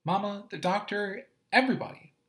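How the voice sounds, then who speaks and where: A man reading aloud puts on a sickly, clingy little boy from a storybook, pleading in a thin, high whine. The voice jumps far above the reader's own and turns breathy and teary. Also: brisk, a bit bright, echoey room.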